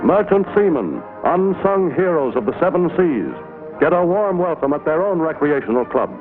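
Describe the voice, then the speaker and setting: A man's voice: on the high side, big pitch swings, normal speed. An American man reading formal, declamatory scripted narration in a 1940s newsreel style, on an old film soundtrack. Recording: tinny 1940s film soundtrack, loud music. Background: music.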